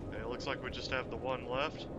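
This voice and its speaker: A man's voice: mid-range voice, flat delivery, fast, muffled recording. A man giving casual, joking commentary over a space flight game. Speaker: gameplay commentator. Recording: loud game noise behind the voice.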